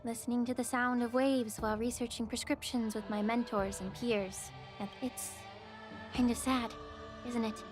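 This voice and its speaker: A woman plays a gracious and quietly shrewd healer from a dragon clan in a video game. Her voice sits fairly high and she phrases everything with formal, measured courtesy. Music plays softly underneath. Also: steady tone, natural voice. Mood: wistful, calm. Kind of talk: scripted video game voice acting.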